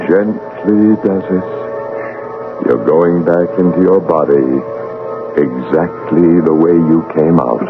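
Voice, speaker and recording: An actor playing a calm, commanding guide between life and death, measured and authoritative, in an old-time radio drama. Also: male voice, sits low, music in the background. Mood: gentle, solemn. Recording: narrow-band, noisy old radio broadcast.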